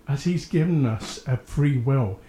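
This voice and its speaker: Mellow male host in an echoing room. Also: mid-range voice, unhurried, a little hiss or hum. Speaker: host of a religious radio programme. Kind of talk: reflective faith discussion. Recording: roomy, echoing sound.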